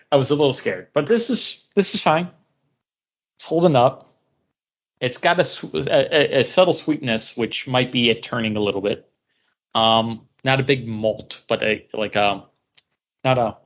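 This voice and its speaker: A man's voice: mid-range voice, lively intonation, normal speed, highs cut off. A man co-hosting a podcast, talking casually and conversationally about comics and beer. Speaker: podcast host.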